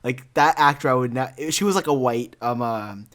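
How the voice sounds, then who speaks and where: A man's voice: medium pitch, moderate pace, a bit bright. A young American man in casual podcast discussion, giving his opinions as an emphatic fan. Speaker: podcast co-host. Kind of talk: casual panel chat about films and animation.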